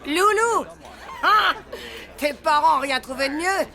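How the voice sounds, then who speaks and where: A woman's voice: sits high, lively intonation, a little slow, pushed and bright, heavily acted. A woman voicing a bossy, menacing café-owner shrew in a French cartoon, with a scolding, sarcastic, slangy way of talking. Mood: mocking. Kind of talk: exaggerated French cartoon acting.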